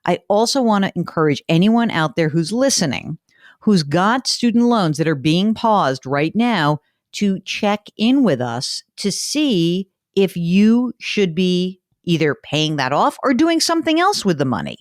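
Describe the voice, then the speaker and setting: A woman's voice: on the low side, big pitch swings, unhurried, no room sound, studio quality. A woman hosting a personal finance show, talking in a chatty, emphatic way. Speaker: money show host. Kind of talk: conversational radio podcast talk.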